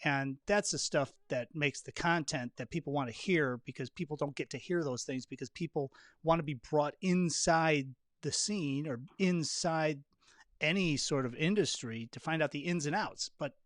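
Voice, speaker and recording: A man hosts a casual interview podcast, talking conversationally in a slightly higher-than-usual voice. The sound is very dry, with almost no room around it. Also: normal speed, fairly bright tone, no room sound. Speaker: podcast host.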